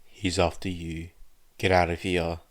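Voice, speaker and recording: A man voicing a stern but caring adult male teacher who speaks in calm, curt lines. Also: deep voice, a little slow. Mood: urgent. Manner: curt orders, then calm reassurance.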